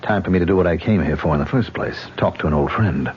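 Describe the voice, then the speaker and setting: A man's voice: low-pitched, fast, soft background music, lightly acted. An actor playing a haunted, bitter male actor who talks in a sardonic, defensive way. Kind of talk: 1970s radio drama acting. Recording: dull old radio broadcast with faint hiss.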